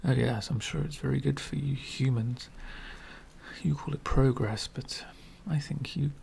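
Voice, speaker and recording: Man voicing a slow, whispering, gravelly old vampire. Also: mid-range voice, fairly even intonation, a little hiss or hum. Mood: disdainful, resigned. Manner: soft-spoken, often dropping into breathy whispers; courtly, archaic, gently condescending. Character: elegant, centuries-old male vampire host, lonely and wry. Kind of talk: ASMR roleplay.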